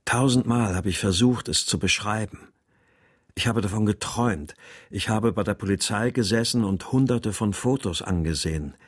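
An actor playing a melancholy husband and writer who narrates a radio crime drama in a restrained, introspective way. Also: male voice, fairly low pitch, moderate pace, slightly rough, no room sound, studio quality. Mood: sad, haunted. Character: melancholy husband and writer narrating his missing daughter's story.

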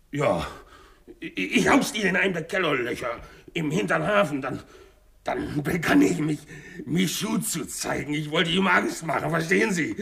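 An actor playing a bitter, vengeful French sailor and former convict in a 1960s German radio crime drama, telling his story haltingly and with feeling. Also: on the high side, gravelly, fairly bright tone. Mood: brooding recollection. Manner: halting, stammering, emotional storytelling.

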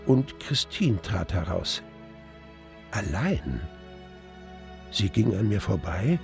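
An actor playing a reflective older man narrating his memories in a radio drama, literary, deliberate and gravelly. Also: on the low side, a little mellow.

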